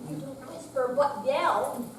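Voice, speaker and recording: An older woman recites comic verse with mock indignation. She takes it slowly in a fairly high voice. The sound is distant and very reverberant. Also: fairly bright tone. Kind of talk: dramatic recitation of a humorous poem. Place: senior center room with audience, distant mic. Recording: echoey hall from far off, noisy.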